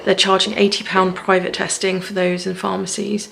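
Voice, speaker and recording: A woman talking in a personal video diary from her apartment, speaking in a weary, low-energy way. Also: vocal fry, fairly bright tone, a little hiss or hum. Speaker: vlogger. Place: apartment at home.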